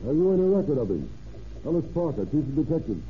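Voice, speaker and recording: An actor playing a determined, plain-spoken American detective chief in an old radio drama, brisk and businesslike. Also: male voice, a little high, very animated. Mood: brisk, official. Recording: tinny, noisy old radio broadcast.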